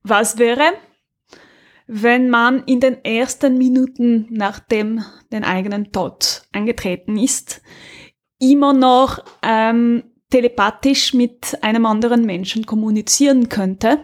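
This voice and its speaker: A woman with a fairly high voice chats casually as a podcast co-host. She explains things in a halting way, pausing to find the next word. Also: steady tone. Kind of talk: casual chat, recommending an audio drama.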